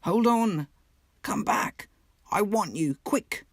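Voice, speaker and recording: A man voicing a small, anxious, homesick mole in a storybook, pleading plaintively and excitably. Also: high-pitched, takes it slowly, no room sound, studio quality, voice pushed up, exaggerated voice. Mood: excited, urgent.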